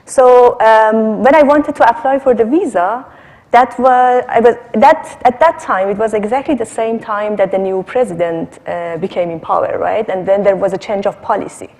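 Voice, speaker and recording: A woman's voice: a little high, normal speed, a little mellow. A woman from Iran, a researcher on a panel, telling a personal story conversationally and often checking in with "right?". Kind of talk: personal anecdote in panel discussion. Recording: long hall reverb.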